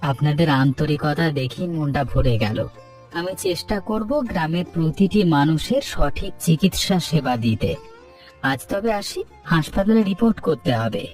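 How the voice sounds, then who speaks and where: A man's voice: on the high side, expressive, moderate pace, far from the mic, soft background music, close to an everyday voice. An actor voicing a polite, dutiful young male doctor, speaking formally in a cartoon. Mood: polite, earnest. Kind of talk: Bengali cartoon story dialogue.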